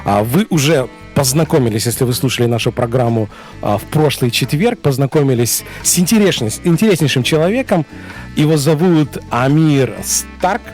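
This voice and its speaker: A man's voice: medium pitch, animated, a little fast, a little mellow, faint music behind. A man hosting a radio interview in a studio, talking hesitantly with many fillers. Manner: hesitant, many fillers, switching between two languages. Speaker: radio host.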